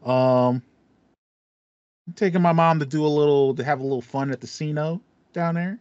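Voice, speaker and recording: A man's voice: on the high side, normal speed, darkish tone, clear, resonant tone, no echo. Dry-sounding American man, chatty podcast host. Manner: chatty, playful, slangy. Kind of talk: casual gaming podcast chat. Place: small home room with acoustic foam, indoors. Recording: slightly dull, dry home recording.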